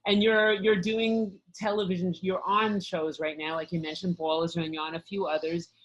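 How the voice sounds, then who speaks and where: A woman's voice: lowish voice, little pitch movement, normal speed, lo-fi sound. A woman hosting a podcast, asking questions and giving short encouraging replies. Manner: brief encouraging responses and questions. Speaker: podcast host. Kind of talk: interviewing.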